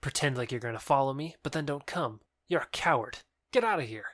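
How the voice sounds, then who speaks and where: A man's voice: medium pitch, lively intonation, brisk, fairly bright tone, no echo, studio quality, close to an everyday voice. A man playing a proud young rival in love, sneering and challenging, in a modernized Shakespeare audio drama. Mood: taunting.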